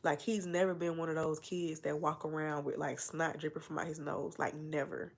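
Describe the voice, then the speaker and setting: Young woman, livestream host, congested cold voice, low-pitched with some vocal fry, chatty and meandering. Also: level tone, moderate pace. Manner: chatty, meandering, full of fillers and asides. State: stuffy nose from a cold. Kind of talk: casual rambling livestream chat.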